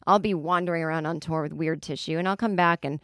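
A woman in her forties with a lowish voice, recorded close and dry on a studio mic. She rambles through comic stories in a chatty, exasperated way, peppered with "like". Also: fairly even intonation, quick pace, fairly bright tone, dry recording. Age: 40s. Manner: chatty, exasperated, heavy use of "like". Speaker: comedian podcast host. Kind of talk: casual comedic storytelling monologue.

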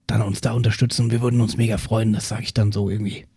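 A man with a breathy, husky voice bantering casually and offhandedly as a podcast host. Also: lowish voice, level tone, fast talker, warm tone, no echo, clean studio sound. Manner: laid-back, offhand. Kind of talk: casual improvised banter, mock-reluctant plug.

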